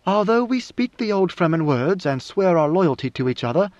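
In a roomy, slightly muffled recording, a young woman rebel leader played by a male narrator speaks in a raised pitch. She is cool and exacting, issuing probing questions and orders with measured, imperious authority, as in a science fiction audiobook. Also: very animated, a little mellow. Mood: firm, questioning.

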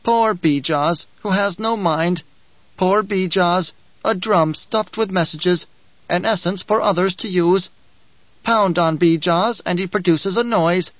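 A man's voice: sits high, takes it slowly, no room sound, dull audio, pitched above the performer's own voice. A man reading an audiobook, voicing a whining, spiteful male dwarf who taunts and giggles. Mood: mocking.

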